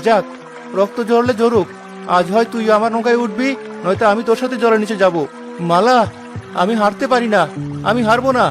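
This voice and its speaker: Guy doing a poor fisherman husband for a cartoon, highish voice, earnest n dramatic, music behind. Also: fairly quick, long pauses. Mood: strained, desperate.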